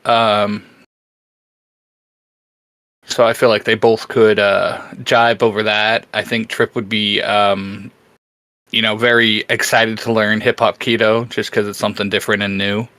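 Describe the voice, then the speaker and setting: A man's voice: on the low side, flat delivery, a little slow, pushed and bright, no room sound. A man co-hosting a casual unscripted chat, thinking aloud in a hesitant way. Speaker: co-host.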